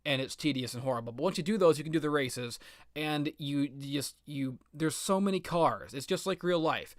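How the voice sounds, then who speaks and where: A man's voice: on the high side, normal speed, no room sound, studio quality. A man giving rambling comedic video game commentary, with a self-deprecating, sarcastic and melodramatic manner.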